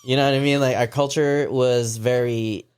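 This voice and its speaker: A man's voice: mid-range voice, fairly even intonation, moderate pace, a little mellow, smooth. A man co-hosting a podcast, chatting casually with lots of fillers and slang. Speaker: podcast co-host. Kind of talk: casual chat.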